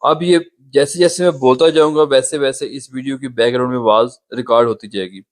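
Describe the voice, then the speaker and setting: A man's voice: mid-range voice, fairly even intonation, a little fast. A man hosting a step-by-step app tutorial, patiently pointing things out on the screen, recorded on a phone. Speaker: YouTube tutorial host.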